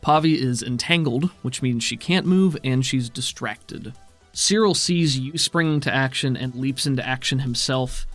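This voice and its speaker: A man's voice: mid-range voice, moderate pace, fairly bright tone. A man acting as game master, narrating and explaining rules in a conversational way. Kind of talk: narrating and refereeing a tabletop RPG. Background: faint music.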